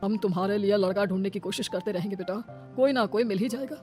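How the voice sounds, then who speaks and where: A woman's voice: mid-range voice, fast talker, a little mellow, lightly acted. A woman voicing a kindly, grieving older father-in-law in a cartoon family melodrama, earnest and consoling, with music behind. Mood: caring.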